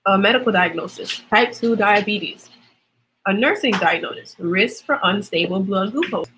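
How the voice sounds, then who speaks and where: A woman's voice: medium pitch, moderate pace, a bit bright, slight background noise, low-quality recording. A young woman with a Trinidadian accent, chatting casually on a livestream as a nursing student, explaining things with lots of fillers.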